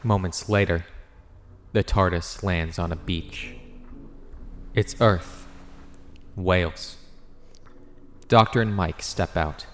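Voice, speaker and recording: A man with a low voice narrates a scripted story. He reads as the narrator of an audio drama, on a recording with clear noise. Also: slow pace, long pauses.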